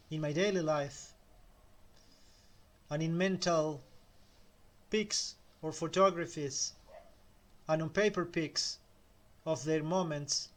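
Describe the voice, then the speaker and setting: A middle-aged man with a Spanish accent, a native Spanish speaker, giving a slow, measured motivational talk with pauses. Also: a little high. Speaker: self-help speaker.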